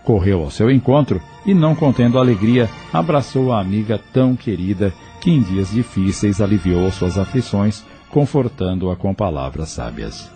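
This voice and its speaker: A man's voice: lowish voice, animated, moderate pace, few pauses, dark tone, soft background music. A man with a Brazilian accent narrating an audio novel from a script.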